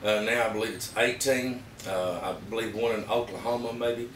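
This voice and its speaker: A man with an Arkansas Southern accent giving a casual, plain-spoken, halting product review to camera. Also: lowish voice, fairly even intonation, a bit bright. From Arkansas, United States. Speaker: vlogger reviewing emergency gear. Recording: roomy, distant mic with background noise.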